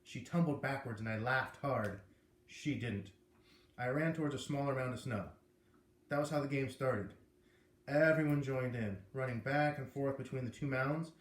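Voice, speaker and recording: A man reading a children's novel aloud in an expressive storytelling way. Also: medium pitch, normal speed. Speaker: read-aloud reader. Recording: echoey room.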